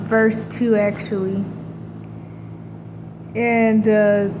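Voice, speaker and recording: A woman's voice: a little high, noisy background. A woman talking in a casual, rambling monologue, full of fillers and restarts. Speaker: vlogger.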